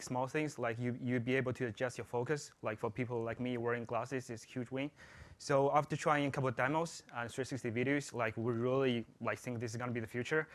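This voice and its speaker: A man with a Chinese accent, a jet-lagged senior producer presenting at a conference, often saying "like" and stumbling on starts. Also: medium pitch. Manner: says "like" a lot, stumbling starts. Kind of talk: conference talk.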